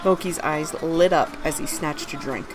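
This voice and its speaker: A woman's voice: deep voice, normal speed, music in the background, low-quality recording. A woman reading her own fantasy story aloud, narrating scripted prose and its dialogue.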